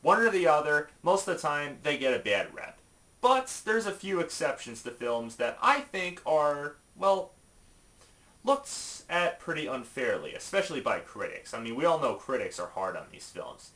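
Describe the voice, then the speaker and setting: A man presenting a top five list in casual, opinionated video commentary, informal and emphatic with halting asides. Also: a little high, big pitch swings, pushed and bright.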